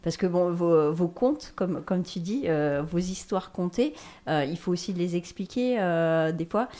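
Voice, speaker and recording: A woman with a fairly low, mellow voice leads an interview. She steers the talk with prompting questions to the band members. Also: a little slow. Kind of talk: radio-style interview.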